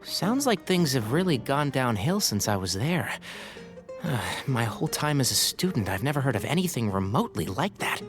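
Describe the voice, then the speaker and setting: A man's voice: mid-range voice, very animated, normal speed, close to an everyday voice. A man playing a wry, articulate young forest ranger in a video game. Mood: dismayed. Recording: faint game music underneath.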